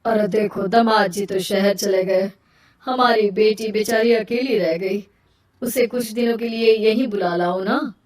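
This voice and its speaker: A woman voicing a pushy, ambitious village mother with a melodramatic, exclaiming manner in an animated moral story. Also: medium pitch, a little slow, close to an everyday voice. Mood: concerned. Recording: roomy echo, distant from mic.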